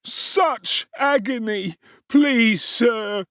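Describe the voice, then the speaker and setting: A man doing a shrill, wailing teenage boy. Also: very slow, bright, forceful tone, no room sound, full character voice. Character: whining, sly teenage workhouse boy. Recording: dry close studio sound.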